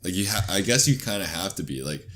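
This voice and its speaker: A man's voice: deep voice, expressive, quick pace, pushed and bright. A young man chatting casually with a friend in informal, slangy speech. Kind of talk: casual chat between friends.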